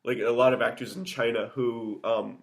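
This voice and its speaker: A man's voice: medium pitch, level tone, normal speed, vocal fry. A young man co-hosting a podcast, chatting casually and rambling, often restarting sentences and laughing. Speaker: podcast co-host. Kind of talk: casual chat.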